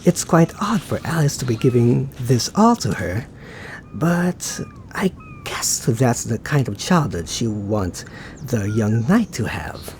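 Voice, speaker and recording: A man playing a suave, teasing, laid-back young knight in an ASMR roleplay, talking to the listener in an unhurried, musing way. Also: medium pitch, sing-song, a little mellow, slight background noise. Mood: musing, fond.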